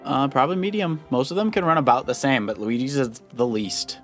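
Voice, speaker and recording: A man's voice: medium pitch, brisk, fairly bright tone. A man, probably middle-aged, giving casual gameplay commentary and explaining moves like a coach. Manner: advising, explanatory. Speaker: father co-hosting a children's let's play. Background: video game music.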